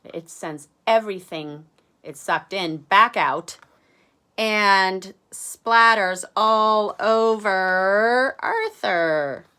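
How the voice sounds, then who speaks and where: A woman's voice: medium pitch, drawn-out words, sharp tone, smooth. A woman reading a picture book aloud to young listeners, adding chatty asides, questions and exclamations. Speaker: read-aloud storyteller.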